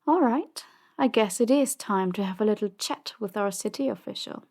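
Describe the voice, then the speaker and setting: A woman playing a commanding, power-hungry crime boss in an audio drama, measured and condescending, with polite menace. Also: mid-range voice, a little mellow, natural voice. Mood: stern, commanding. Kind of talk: audio drama roleplay acting.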